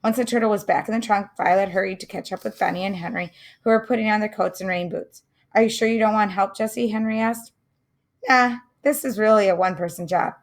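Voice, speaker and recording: Woman, read-aloud storyteller, middle pitch, some gravel in the voice, friendly asides to listeners. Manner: pauses to comment and wonder aloud to listeners. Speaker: teacher reading aloud.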